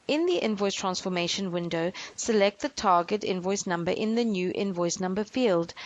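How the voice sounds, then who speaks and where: A woman narrates a software demonstration from a script. Her delivery is measured and instructional, at a middling pitch, walking the listener through each step.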